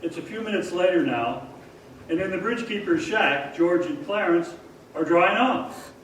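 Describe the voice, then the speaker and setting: A man's voice: high voice, expressive, measured pace, fairly bright tone, recorded from a distance. A man narrating and announcing in showy, dramatic old-time radio style. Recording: echoey, noisy theater stage from afar.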